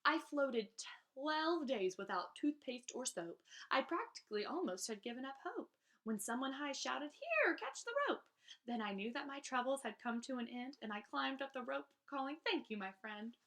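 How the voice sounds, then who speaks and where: Very animated woman reading a children's storybook. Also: sits high, normal speed. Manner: animated, theatrical, emphatic delivery for children. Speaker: children's read-aloud host. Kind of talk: expressive storybook reading aloud.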